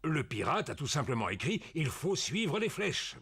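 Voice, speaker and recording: A performer voicing a gruff, confident older male pig with a gravelly, husky voice in a French children's cartoon. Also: mid-range voice, very animated, normal speed, fairly bright tone, heavily acted. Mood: confident, hearty.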